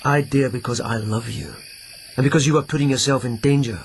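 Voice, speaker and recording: A man's voice: mid-range voice, brisk, slight background noise, close to an everyday voice. An actor playing an earnest young policeman who presses his lover with urgent warnings, in a radio drama. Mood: pressing. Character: Lieutenant Yukinari, earnest, protective policeman lover. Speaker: lead character. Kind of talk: BBC radio drama acting.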